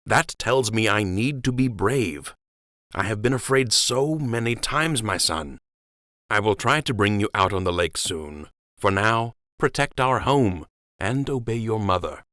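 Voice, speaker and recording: Grave, loving rabbit king, low and tender, voiced by a man, dry. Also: animated, unhurried, sharp tone, dry recording. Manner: solemn, tender.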